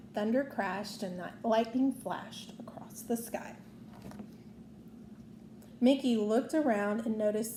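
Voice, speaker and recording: Woman reading a kids book out loud, takes it slow, echoey room w faint noise. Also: mid-range voice. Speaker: storybook reader. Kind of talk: read-aloud children's story narration. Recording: roomy echo, faint background noise.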